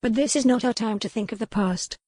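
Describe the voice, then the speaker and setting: A woman's voice: fairly high pitch, brisk, dark tone, no room sound, studio quality, pitched above the performer's own voice, natural voice. A woman voicing an earnest, pleading young noblewoman in a historical romance audiobook, speaking in formal period phrases. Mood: earnest, defiant.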